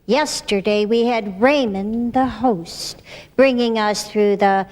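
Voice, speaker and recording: A woman's voice: medium pitch, takes it slowly, a little mellow. An older woman reading scripted narration at a microphone. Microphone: stand microphone. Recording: slightly reverberant hall.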